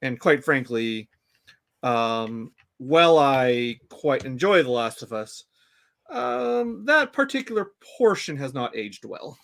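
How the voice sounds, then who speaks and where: A man co-hosting a podcast, talking casually about a TV series with halting restarts. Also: mid-range voice, big pitch swings, gravelly, fairly bright tone. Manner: halting, many restarts and fillers. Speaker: podcast co-host. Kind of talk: casual fan discussion.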